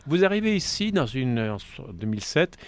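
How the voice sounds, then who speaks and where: A man hosting a conversational radio interview. He asks long, chatty questions, often restarts them, and adds side remarks along the way. His voice sits at a middle pitch. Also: sing-song, moderate pace, clear, resonant tone. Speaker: radio host.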